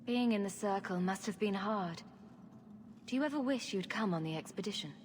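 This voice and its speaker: A woman's voice: mid-range voice, animated, normal speed, long reverb tail, a little hiss or hum, lightly acted. An actress playing a wry, sarcastic young female rogue champion in a video game cutscene. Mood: sincere. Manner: wry, teasing.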